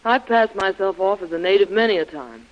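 Man acting a higher-voiced, self-assured adventurer. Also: fairly quick, close to an everyday voice. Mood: calm, confident. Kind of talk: old-time radio adventure acting. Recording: dull old radio broadcast.

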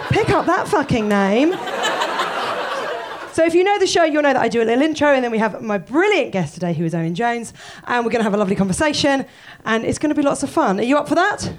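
Croaky woman hosting a live show. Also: on the high side, lively intonation, fast, heavy background noise. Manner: self-deprecating, jokey, sweary. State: croaky from a cold. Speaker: podcast host. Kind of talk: live podcast on stage, chatty banter. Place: theatre stage before a live audience.

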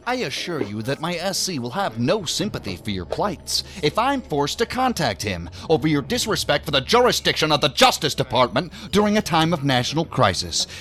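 An actor playing a shrewd task force agent who speaks formally and pointedly in a science fiction audio drama. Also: male voice, on the high side, big pitch swings, a little fast, few pauses, a bit bright. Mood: firm, veiled threat. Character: firm, shrewd government task force agent, coolly threatening. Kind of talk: sci-fi audio drama acting. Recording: music behind the voice.

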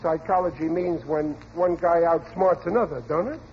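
High-voiced blustering radio dad played by a man. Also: fairly even intonation, moderate pace, close to an everyday voice. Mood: scheming, confident. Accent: American. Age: middle-aged. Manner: stammering, repeating himself, ungrammatical. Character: blustering, uneducated, well-meaning working-class family father. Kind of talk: 1940s radio comedy acting. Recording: muffled, noisy old radio recording.

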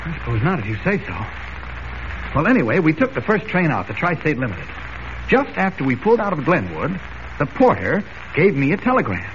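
An actor playing an earnest, urgent newspaper reporter in brisk, emphatic 1940s radio style. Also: male voice, on the high side, huge pitch swings, fairly bright tone. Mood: insistent, serious. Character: earnest, urgent male newspaper reporter with a secret hero identity. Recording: noisy, muffled old radio recording.

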